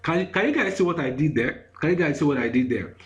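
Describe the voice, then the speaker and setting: A man's voice: on the high side, lively intonation, fast. A man with a Nigerian accent talking through screenshots in an online lesson, repeating himself and constantly asking if listeners follow. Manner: repetitive, keeps asking "you get me?". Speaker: online course instructor.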